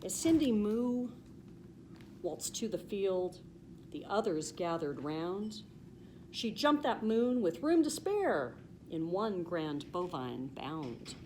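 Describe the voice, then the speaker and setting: Animated American woman reading a children's story. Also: fairly low pitch, slow, slight background noise. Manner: animated, emphatic sing-song rhyming delivery. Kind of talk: expressive children's storybook read-aloud.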